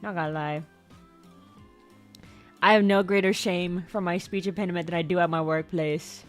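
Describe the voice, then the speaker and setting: A young woman chatting casually on a livestream. She rambles, repeats and stumbles over words, and her voice sometimes jumps suddenly into high squeaks. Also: steady tone, moderate pace. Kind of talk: casual stream chat. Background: faint background music.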